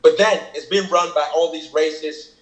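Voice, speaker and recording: A man's voice: a little high, steady tone, normal speed. Guy, kenyang is his first tongue, breaks down his bars, keeps saying you feel me, kinda echoey. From Cameroon. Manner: earnest, explains at length, keeps asking "you feel me". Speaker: rapper explaining his lyrics. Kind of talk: casual reaction chat. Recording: echoey room.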